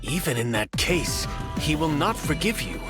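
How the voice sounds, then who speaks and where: An actor playing a stern, dutiful knight in a video game, formal and solemn in delivery. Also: male voice, fairly high pitch, sing-song, normal speed, music in the background. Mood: disapproving. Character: grave, dutiful male knight, loyal and stern. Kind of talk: video game cutscene voice acting.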